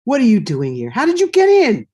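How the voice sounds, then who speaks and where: A woman's voice: fairly high pitch, wildly swooping pitch, fairly quick, warm tone, slightly rough, lo-fi sound, full character voice. An actress playing a guarded, indignant mother in her forties, curt and suspicious, in a dramatic stage scene. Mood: angry, dismissive. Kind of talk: dramatic two-person scene reading.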